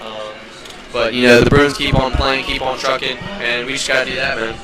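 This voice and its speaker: A man's voice: mid-range voice, flat delivery, normal speed. A man giving loose color commentary at a live game, full of fillers and praise for the defense. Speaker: color analyst. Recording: echoey, distant mic, loud crowd.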